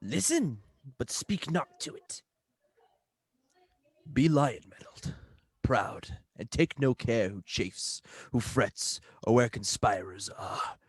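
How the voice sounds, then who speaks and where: Someone doing spooky solemn shakespeare spirit, intoning real slow, dry sound. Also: mid-range voice, lively intonation, slightly rough, no room sound. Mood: solemn prophecy. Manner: solemn, incantatory. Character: grave, eerie supernatural prophet at witches' cauldron. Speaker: student performer. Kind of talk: Shakespearean radio play acting.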